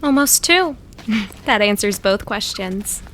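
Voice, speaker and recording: A woman's voice: medium pitch, lively intonation, slow pace, fairly bright tone, a little hiss or hum. A woman playing an earnest, overprotective young mother, chirpy and preachy, in an audio comedy drama.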